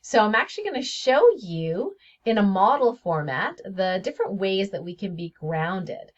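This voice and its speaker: A woman's voice: mid-range voice, big pitch swings, moderate pace. A woman hosting her own spiritual health video series, talking casually and chatty with frequent laughs and fillers. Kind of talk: casual solo video talk. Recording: dull lo-fi audio.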